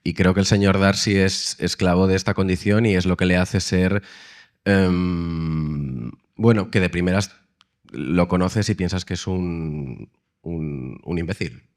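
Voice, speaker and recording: A man speaking on a panel at a public event, answering reflectively and hesitantly, with many pauses and fillers. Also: deep voice. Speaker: actor.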